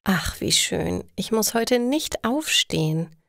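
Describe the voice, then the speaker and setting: A woman's voice: medium pitch, animated, takes it slowly, vocal fry, a bit bright, dry recording, studio quality, heavily acted. A woman narrator voicing a tired young working woman who wants peace, in a storybook for language learners. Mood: cozy relief.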